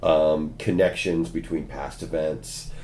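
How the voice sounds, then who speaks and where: Very low-voiced Californian American man. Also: steady tone, slow, a little mellow, slight background noise. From California, USA. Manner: frequent ums and restarts, lightly wry. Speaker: history lecturer, guest. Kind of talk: conversational interview answers. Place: university office. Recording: imperfect office recording.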